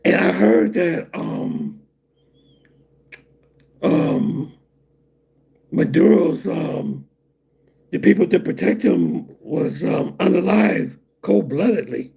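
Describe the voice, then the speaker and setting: A man's voice: high-pitched, sing-song, slow, creaky, pauses often, mellow, breathy edge. A middle-aged man from the United States hosting a casual livestream and rambling loosely to his viewers. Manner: loose, rambling, laughs at his own jokes. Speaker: livestream host.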